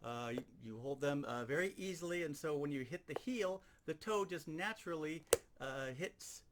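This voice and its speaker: A man with a fairly high voice that swings widely in pitch, giving a hands-on lesson. He explains each step methodically and circles back to key points. Clear background noise runs through the recording. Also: animated, normal speed. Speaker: sound effects instructor. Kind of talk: instructional demonstration.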